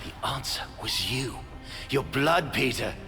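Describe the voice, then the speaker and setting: An actor playing a remorseful, obsessive middle-aged scientist in a video game, speaking in a halting, hushed, intense way. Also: male voice, mid-range voice, animated. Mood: intense, reassuring. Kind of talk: dramatic video game voice acting. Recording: long reverb, music behind.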